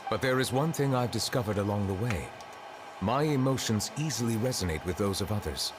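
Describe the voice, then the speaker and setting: A man's voice: lowish voice, expressive, brisk, noisy background, lightly acted. A man voicing an ancient, solemn dragon in human form who serves as chief justice in a video game, speaking formally and reflectively. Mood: grave. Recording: game dialogue with music behind.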